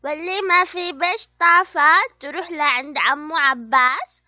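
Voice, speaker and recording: A woman's voice: high voice, normal speed, background noise, lightly acted. A woman voicing a bossy, cheeky young female debt collector in a cartoon, with an Adeni Yemeni accent. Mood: bossy, demanding. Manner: demanding, cheeky. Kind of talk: cartoon comedy dub. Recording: dull audio with faint music.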